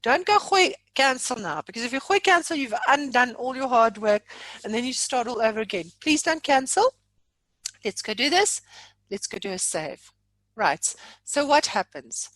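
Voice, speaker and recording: High-voiced South African woman, chatty trainer. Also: sing-song, normal speed, a bit bright, dry recording. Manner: chatty, jokey, self-deprecating. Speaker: software trainer. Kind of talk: informal software demonstration.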